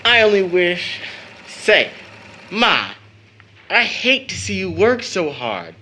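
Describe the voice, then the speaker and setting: A man's voice: sits high, very animated, slow, pushed and bright, a little hiss or hum, exaggerated voice. A man acting an eager, cheeky, devoted teenage son in an audio drama, boyish and slangy. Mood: cheerful, affectionate.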